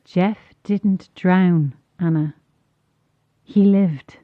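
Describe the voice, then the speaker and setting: A woman playing a mysterious caller in a horror audio drama, measured, grave and insistent as she delivers hard truths. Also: fairly low pitch, narrow, tinny audio.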